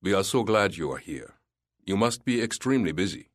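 A man doing a deep, unhurried, urbane rich host. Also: sing-song, no echo, clean studio sound. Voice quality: deep, resonant, faint foreign accent. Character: wealthy elegant older man host, languid, never hurried.

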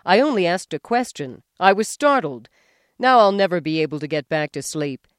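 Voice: mid-range voice, expressive, takes it slowly, clear, resonant tone, no echo, studio quality. A reader voicing a demanding, hysterical middle-aged husband who whines and accuses. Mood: shrill complaint. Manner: complaining, accusing, rising to yells.

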